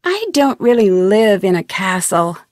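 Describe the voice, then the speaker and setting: A woman's voice: medium pitch, very animated, unhurried, a little mellow, dry recording, clean studio sound. A young American woman voicing an inquisitive, polite and determined amateur detective in an adventure video game, with an earnest, questioning delivery. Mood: curious, earnest. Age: young adult. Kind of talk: scripted adventure game voice acting.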